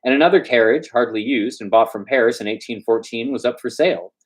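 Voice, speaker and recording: A man's voice: medium pitch, fast talker, poor audio. A man reading a story aloud informally as host, stumbling over words now and then and slipping in loose asides.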